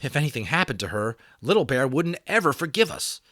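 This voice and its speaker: A man's voice: medium pitch, sing-song, a little fast, pushed and bright, dry recording, studio quality, voice pushed up. A man voicing an uneasy, sensible young boy who reasons things through.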